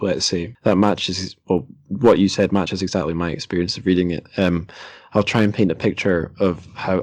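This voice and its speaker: Low-voiced young man, dry sound. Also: darkish tone, no echo. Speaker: podcast host. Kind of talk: casual book discussion, anecdotal.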